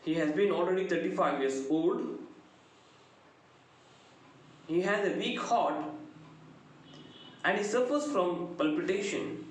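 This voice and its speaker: A middle-aged man with an Indian accent giving a literature lecture, deliberate and emphatic, phrase by phrase. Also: high-pitched. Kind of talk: lecture summarizing a play. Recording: very echoey room, faint background noise.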